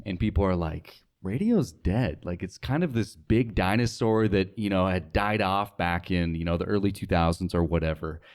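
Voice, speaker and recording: A man's voice: sits low, normal speed, few pauses, a little mellow. A young man from North Dakota talking casually as an interview guest. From North Dakota, United States. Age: young adult. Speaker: interview guest, radio sales worker.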